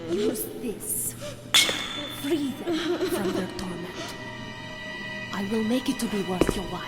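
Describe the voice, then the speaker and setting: A grave German scientist guarding those in her care in a video game. Her high voice is formal and imploring, carried on long reverb with loud music behind it. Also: big pitch swings, slow. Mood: pleading. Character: stern, protective, pleading German woman scientist.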